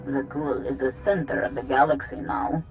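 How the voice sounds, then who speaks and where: An actor playing a cold, commanding envoy of a crime boss in a video game, speaking in a formal, imperious, measured way. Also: mid-range voice, steady tone, over music, close to an everyday voice, rough recording. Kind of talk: video game cutscene acting.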